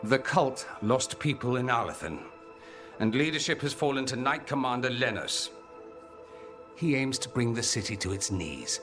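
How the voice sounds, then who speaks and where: An actor playing an urbane, sardonic rebel mage-politician in a video game, eloquent and bold, with a theatrical delivery. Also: male voice, medium pitch, expressive, normal speed, lightly acted. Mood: grave. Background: background music.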